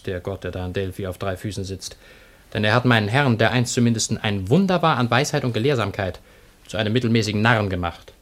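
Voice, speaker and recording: A man's voice: mid-range voice, lightly acted. Casting: male actor for impudent, loyal servant of antiquity, mid-range voice, blunt mockery, radio play. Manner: mocking, insolent, blunt. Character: cheeky, coarse, loyal servant of ancient Athens. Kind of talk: classic German radio play acting.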